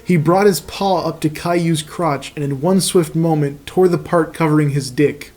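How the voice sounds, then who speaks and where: Higher-voiced man, profane comic reader. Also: moderate pace, darkish tone. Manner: profane, mocking. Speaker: host reading fan fiction aloud. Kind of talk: comic dramatic reading with casual asides.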